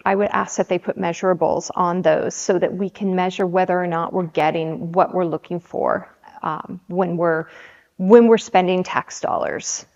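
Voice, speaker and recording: Woman in her 40s from the Seattle area, city council candidate, measured and hesitant, muffled sound with a long reverb tail. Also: medium pitch, darkish tone. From Seattle area, USA. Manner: measured, with frequent ums and restarts. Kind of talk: candidate interview answers. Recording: dull audio with long hall reverb.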